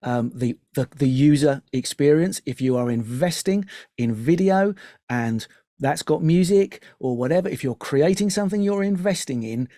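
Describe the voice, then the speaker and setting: A man's voice: on the high side, normal speed, mellow, dry recording, clean studio sound. A man speaking as an interview guest, giving conversational advice in an emphatic way with rhetorical questions. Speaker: podcast guest, audio and music professional.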